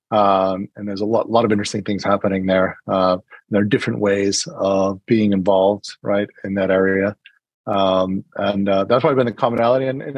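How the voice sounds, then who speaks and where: A man's voice: fairly low pitch, dry recording. A middle-aged man from New Hampshire, speaking as an interview guest in a casual, hesitant way with many fillers and restarts. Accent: partly British-sounding American. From New Hampshire, USA.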